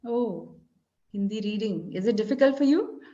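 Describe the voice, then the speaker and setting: A woman from Kerala with an Indian English accent, whose first language is Malayalam, chatting and tutoring slowly and encouragingly on a video call. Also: medium pitch, expressive, dark tone, highs cut off. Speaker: English conversation teacher. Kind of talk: casual tutoring chat.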